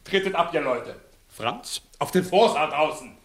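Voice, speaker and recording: A blustering, wildly expressive village judge. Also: male voice, a little high, measured pace. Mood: blustering, bossy. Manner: servile to superiors, barking at underlings. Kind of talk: 1960s German radio play acting, classical verse comedy.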